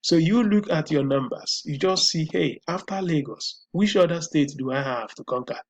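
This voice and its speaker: A man's voice: fairly high pitch, moderate pace, darkish tone. A man with a Nigerian accent hosting a solo commentary show, thinking aloud with frequent repeats and restarts. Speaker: host.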